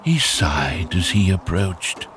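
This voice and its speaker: A man narrating a scripted children's fairytale in an expressive, animated storytelling way. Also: low-pitched, takes it slowly, soft background music.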